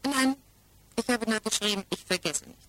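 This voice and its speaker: A woman's voice: on the high side, moderate pace, a bit bright, lightly acted, low-quality recording. An actress playing a self-possessed widow under questioning in a 1960s German radio play, composed, direct and defiantly devoted to her lover. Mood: devoted, firm.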